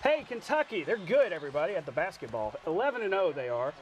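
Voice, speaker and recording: A man with an American accent anchoring a sportscast, calling highlights with playful, jokey asides. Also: sing-song, quick pace, dull audio. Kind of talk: highlight commentary and studio banter. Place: TV studio.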